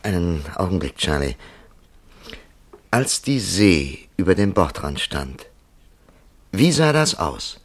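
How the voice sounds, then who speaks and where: An actor playing a composed, middle-aged confidant who probes another's dream with measured curiosity in a German radio play. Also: male voice, low voice, sing-song, no echo, exaggerated voice. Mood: intent, thoughtful. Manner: measured, probing.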